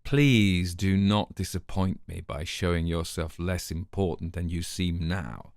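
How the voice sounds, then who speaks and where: Guy reading as a smug wealthy baron in a crime audiobook, smooth and patronising, dry close sound. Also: deep voice, a little slow, a little mellow, no room sound. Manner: condescending, suave.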